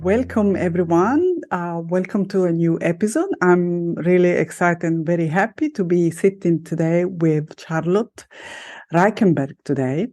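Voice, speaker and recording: A woman with a fairly low voice hosts a podcast interview. She speaks in a measured way with occasional ums and self-corrections. Faint music can be heard behind her. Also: mellow. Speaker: podcast host and life coach.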